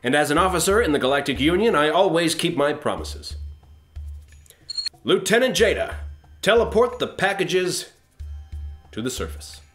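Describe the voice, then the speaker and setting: An actor playing a brash, self-satisfied starship captain in a comedic science-fiction radio play, glib and pompous. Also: male voice, a little high, big pitch swings, normal speed, pauses often, a bit bright, faint music behind. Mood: sheepish, pompous, then grandly proud.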